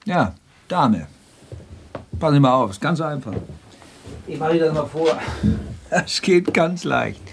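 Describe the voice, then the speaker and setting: A dryly ironic man speaks at an unhurried pace in a radio play. He prods and coaxes in short replies, over slight background noise. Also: a little high, lively intonation, creaky. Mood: probing, coaxing. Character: probing, wry male drinking companion, coaxing and persistent.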